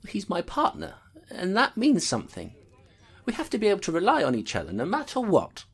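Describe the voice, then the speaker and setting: A woman playing a loyal, dogged female constable who narrates her case in an earnest, brisk way. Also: medium pitch, wildly swooping pitch, breathy edge, exaggerated voice.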